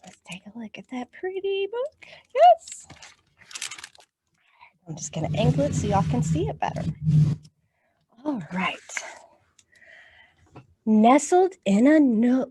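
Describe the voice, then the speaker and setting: A woman's voice: fairly high pitch, very animated, slow pace, pauses often, mellow, a little hiss or hum. A woman hosting a storytime for young children, talking encouragingly and reading aloud to her little audience. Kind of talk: children's storytime with songs and picture-book reading.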